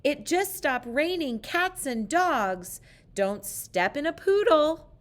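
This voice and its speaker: A woman reader voicing a silly, cheerful lady schoolteacher in a children's storybook, announcing things in a bright sing-song manner. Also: high-pitched, big pitch swings, slow, pitched above the performer's own voice, full character voice.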